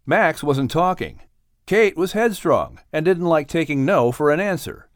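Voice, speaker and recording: Lively male children's audiobook narrator. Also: on the high side, measured pace, no room sound, clean studio sound. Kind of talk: scripted children's story narration.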